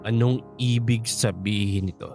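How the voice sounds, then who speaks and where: A man plays a middle-aged Filipino father in a radio drama, outraged at a friend's betrayal. He is accusing and confrontational, with a protective edge. Music plays clearly behind him. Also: on the low side, steady tone, moderate pace, close to an everyday voice. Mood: stern, accusing. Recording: dramatic music bed underneath.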